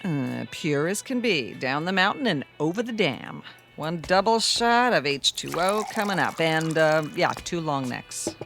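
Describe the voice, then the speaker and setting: A friendly roadside diner waitress for a radio play. Her voice sits a little low and swings freely as she teases and makes breezy, folksy small talk. Faint music plays underneath. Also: big pitch swings, a little slow, a bit bright, heavily acted. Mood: breezy, flirty. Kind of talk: radio drama acting.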